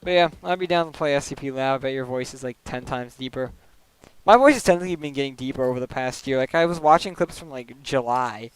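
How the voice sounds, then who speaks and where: A young man talks loosely while he plays, hopping between thoughts and padding everything with "like" and small laughs. His voice is smooth and clean, sitting in an ordinary middle pitch. It sounds like a desk microphone at home. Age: young adult. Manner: rambling, full of "like" fillers, quick laughs. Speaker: streamer. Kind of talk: casual chat while gaming.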